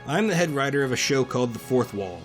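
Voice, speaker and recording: Actor as defensive writer, lively pitch. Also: male voice, fast talker, faint music behind, lightly acted. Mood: glib, sheepish. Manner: glib, defensive, conversational. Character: rattled, defensive male comedy-drama writer, stubborn and glib. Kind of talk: audio drama acting.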